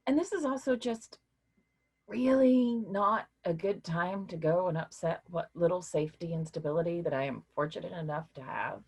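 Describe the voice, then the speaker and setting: A woman playing a weary but caring literature professor in a staged reading, speaking in a measured, hesitant, reassuring way with a husky voice. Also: on the low side, darkish tone. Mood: cautious, measured.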